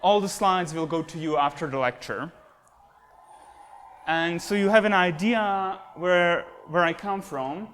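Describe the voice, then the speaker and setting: A young Slovak man with a fairly high voice lecturing in a classroom. He talks in an easygoing, self-deprecating way, filling pauses with "uh" and "um" while pointing at slides. The sound comes from a camera at the back of the room. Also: fairly bright tone, slight background noise. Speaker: guest lecturer, astronomy postdoc. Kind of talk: university lecture. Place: classroom with projector and computers.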